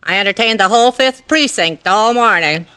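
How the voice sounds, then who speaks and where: An actress playing a tough, short-tempered dance school owner, curt and bossy, in old-time radio drama style. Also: female voice, medium pitch, moderate pace, bright, forceful tone, clean tone, lightly acted. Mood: guarded. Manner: curt, hard-boiled, bossy. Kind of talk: 1940s-50s radio drama acting.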